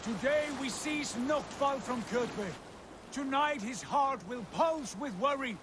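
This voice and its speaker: High-voiced, proud Viking prince. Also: male voice, lively intonation, slow pace, full character voice. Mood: rousing. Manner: grand, declamatory. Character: proud, bold Viking prince, rousing war leader. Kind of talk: video game cutscene acting. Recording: clear water and outdoor ambience noise.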